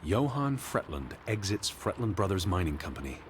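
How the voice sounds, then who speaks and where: An actor playing a weary, anxious, bookish gentleman with a formal, precise manner in a 1920s period drama game. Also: deep voice, big pitch swings, moderate pace, a little hiss or hum. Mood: sober, informative. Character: weary, anxious, bookish middle-aged gentleman searching for his sister.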